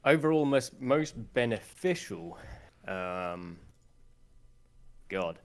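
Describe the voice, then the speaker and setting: A man's voice: lowish voice, takes it slowly. A young man from Sweden with a Swedish accent, a guest chatting casually on a podcast and thinking aloud as he talks. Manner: relaxed, thinks aloud with fillers.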